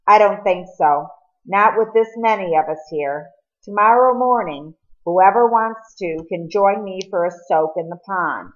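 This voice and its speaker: A woman voicing an earnest, remorseful teenage boy in a storybook, confessing in a serious, halting manner. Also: medium pitch, dull audio, close to an everyday voice. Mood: subdued.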